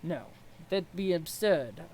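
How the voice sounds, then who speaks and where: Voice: mid-range voice, animated, slow, a little mellow, low-quality recording. A performer voicing a formal, haughty male alien aristocrat who is easily rattled, with stiff, pedantic and over-polite diction. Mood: curt, haughty. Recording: clear background noise.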